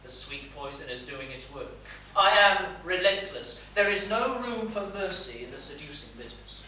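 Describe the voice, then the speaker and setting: A man's voice: a little high, expressive, normal speed, pushed and bright. A man playing a vain, suave seducer in a stage comedy, pompous and theatrical as he confides in the audience. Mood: smug. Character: smug, suave male seducer, vain gentleman narrator. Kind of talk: stage period comedy monologue. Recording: echoey theatre, distant mic, faint noise.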